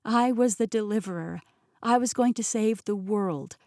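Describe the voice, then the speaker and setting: A young adult woman narrating a first-person novel in a clear, expressive storytelling manner, recorded on a close studio mic. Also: mid-range voice, moderate pace, no echo. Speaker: audiobook narrator.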